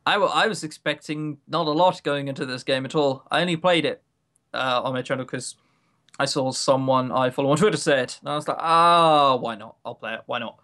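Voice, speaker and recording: A man's voice: mid-range voice, a little fast, bright, forceful tone. A man chatting casually as a podcast co-host, emphatic and enthusiastic, often joking. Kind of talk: casual podcast chat reviewing games.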